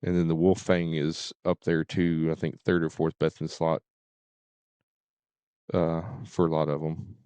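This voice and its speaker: Low-voiced man, casual game streamer. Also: level tone, dark tone, clean tone, no room sound. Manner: halting, repeats and stutters on words.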